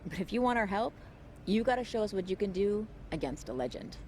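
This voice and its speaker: A woman's voice: mid-range voice, big pitch swings, brisk, darkish tone, a little hiss or hum, natural voice. A woman playing a wry, tough wrestling veteran in a video game story scene. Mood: firm. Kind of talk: dramatic game dialogue.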